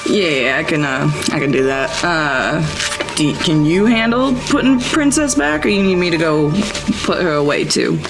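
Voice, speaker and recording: A woman playing an unruffled female animal keeper, laid-back and halting, in an improvised tabletop game. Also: deep voice, lively intonation, music in the background, voice pushed down. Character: Amy, unruffled, hesitant female dinosaur park keeper. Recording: clearly noisy background.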